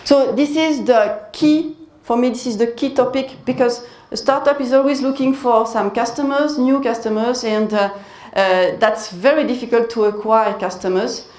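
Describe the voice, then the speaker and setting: A woman giving a business presentation at a conference and taking questions, in a measured way that repeats key phrases. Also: a little high, darkish tone. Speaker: conference speaker. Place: conference hall. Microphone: handheld mic. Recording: echoey conference hall.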